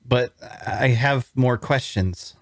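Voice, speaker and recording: A man's voice: medium pitch, slow, gravelly, no room sound, studio quality. A man playing a curious, wounded captive who asks questions hesitantly in a fantasy drama reading. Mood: puzzled. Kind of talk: dramatic fantasy table read.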